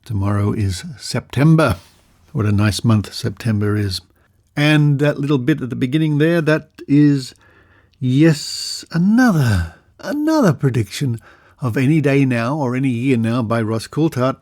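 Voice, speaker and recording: A man with an Australian accent presenting a podcast conversationally, with deliberate pauses and drawn-out emphasis. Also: medium pitch, animated, darkish tone. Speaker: podcast host.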